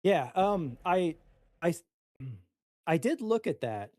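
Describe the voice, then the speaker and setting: A man chatting casually as a player creating a character, tentative and halting in how he talks. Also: fairly high pitch, sing-song, darkish tone, dry recording. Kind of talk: casual chat.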